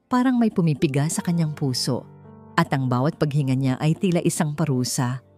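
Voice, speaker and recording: Low-voiced woman narrating romance over music. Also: animated, normal speed, darkish tone. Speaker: story narrator. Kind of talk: dramatic scripted narration of romance fiction. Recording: music bed under the voice.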